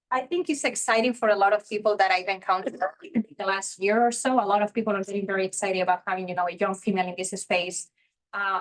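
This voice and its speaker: Woman, 30s, from Spain; candid, chatty interview guest at a medium pitch. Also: a bit bright, rough recording. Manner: chatty and candid, heavy use of "you know" and "like". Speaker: interview guest, real estate investor. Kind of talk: casual interview chat.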